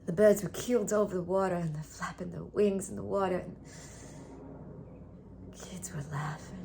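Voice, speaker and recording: A woman playing a traumatised, lonely young woman in a theatre monologue, halting and intense, often slipping into a breathy hush. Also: fairly low pitch, fairly even intonation, background noise. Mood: anguished, frantic, then distressed.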